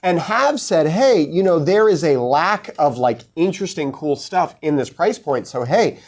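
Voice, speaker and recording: Lively rambling male co-host. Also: fairly high pitch, normal speed. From New Jersey. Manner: animated, rambling, lots of fillers. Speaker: podcast co-host. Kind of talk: casual chat.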